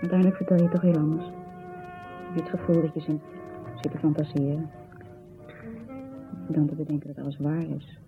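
An actress playing a distraught, bitter wife who recounts her story in an agitated, halting way, in naturalistic radio drama style. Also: low-pitched, flat delivery, close to an everyday voice. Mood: weary, bitter. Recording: thin phone-like 1970s radio, music behind.

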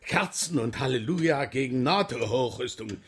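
An actor playing an older, blustering communist painter in a radio drama, emphatic and declamatory. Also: male voice, medium pitch, expressive, normal speed, husky. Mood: indignant, preaching.

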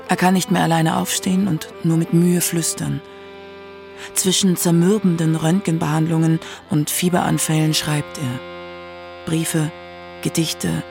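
A narrator reading a scripted radio documentary in a measured literary manner. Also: medium pitch, creaky, darkish tone.

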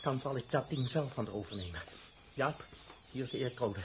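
An actor playing an agitated, guilt-ridden technician on a space crew in a 1960s radio drama, stammering and repeating words. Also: male voice, medium pitch, expressive, fairly quick, lightly acted. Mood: guilty, resolute. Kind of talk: 1960s Dutch radio play acting. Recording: noisy old 1960s radio recording.

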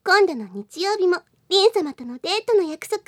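A woman playing a polite, demure anime schoolgirl co-hosting a character radio show with formal, gentle manners. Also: extremely high pitch, big pitch swings, normal speed, dry recording, studio quality. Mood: sweetly sly.

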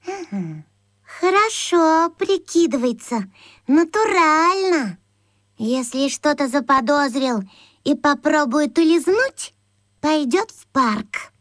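A woman's voice: sits high, sing-song, takes it slowly, a bit bright, no echo, clean studio sound, exaggerated voice. An actress playing a self-important, imaginative young boy detective in a children's radio play, muttering earnestly like a spy-catcher. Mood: watchful, scheming.

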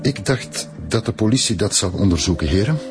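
Low-voiced, stubborn older Flemish man, played by an actor. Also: animated, music in the background, close to an everyday voice. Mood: defiant. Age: 60s. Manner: measured, explanatory, self-justifying. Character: stubborn, earnest retiree and aspiring historical writer. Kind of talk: radio drama acting.

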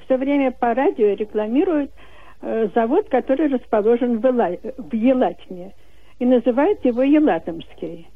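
A woman's voice: fairly high pitch, lively intonation, normal speed, dry recording. A woman calling in to a radio show to ask a language question. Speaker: caller. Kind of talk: asking a question by phone. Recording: tinny phone line.

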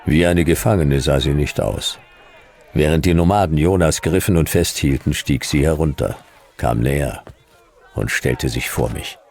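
A man playing a world-weary detective, narrating a radio play in terse, dry, clipped phrases with a gravelly voice. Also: a bass voice, normal speed, a little mellow, full character voice. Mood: dry, laconic. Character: world-weary male detective narrating his own adventure.

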